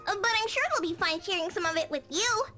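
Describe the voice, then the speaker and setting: A woman's voice: very high voice, fast, pushed and bright, faint music behind. A woman voicing a cheerful, innocent little girl in a visual novel game, with an eager, chatty, childlike manner.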